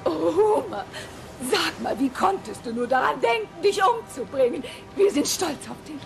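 A woman's voice: sits high, sing-song, moderate pace, music in the background. An actress playing a caring, anxious cartoon wife and mother, speaking tenderly and fretfully. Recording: faintly noisy cassette dub.

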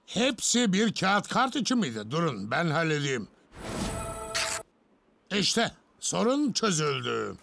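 A man's voice: a little high, sing-song, slow pace, creaky, long pauses, heavily acted. A man voicing a weary, kindly but firm old grandfather in a dubbed cartoon. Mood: stern, exasperated. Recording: music behind.

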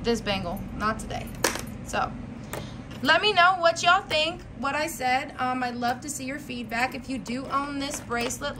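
Blunt, higher-pitched American woman vlogger, noisy recording. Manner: blunt, slangy, emphatic with repetition. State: voice worn out, losing it. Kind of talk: casual chat to viewers, product review.

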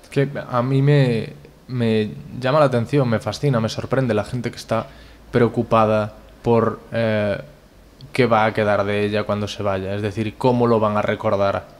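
A man in his thirties with a Spanish accent, calmly asking interview questions into a desk microphone in an indoor studio. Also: on the low side, moderate pace. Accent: Spain. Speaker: podcast host. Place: indoor studio space, seated at table. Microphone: studio mic on desk stand.

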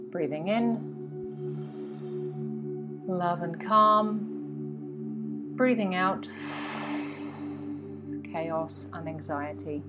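A woman guiding a meditation in a soothing, instructive way, with frequent pauses between phrases. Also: on the low side, lo-fi sound. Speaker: guided meditation teacher. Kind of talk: guided meditation narration. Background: meditation music with singing bowls.

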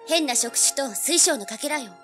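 A woman's voice: high voice, lively intonation, moderate pace, over music. An actress playing a feisty, money-hungry teenage girl with a pert, blunt manner in a dramatized audiobook. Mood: recounting, uneasy.

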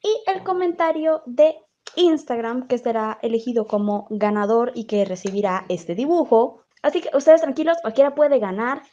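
A woman's voice: a little high, moderate pace, dark tone, lo-fi sound. A woman chatting casually and playfully as she hosts and makes announcements for her channel. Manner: playful, giggly. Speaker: channel host. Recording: music behind the voice. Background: background music.

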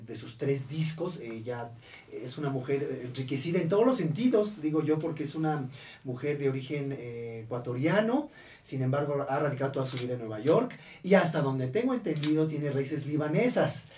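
A man's voice: medium pitch, dark tone, far from the mic, a little hiss or hum. Man hosting radio, roomy and off-mic. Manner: filler-heavy, many "eh" pauses. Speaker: radio show host. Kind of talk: radio interview. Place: radio studio booth. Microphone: studio boom mic, heard from a distance.

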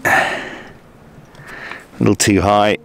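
A man's voice: on the low side, level tone, very slow, creaky, fairly bright tone, a little hiss or hum. A middle-aged man presenting a casual hobby tutorial, explaining step by step in a hesitant way.

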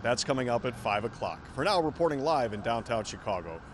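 A man's voice: medium pitch, very animated, fairly quick, background noise. A middle-aged American man delivering a scripted television news report as a reporter.

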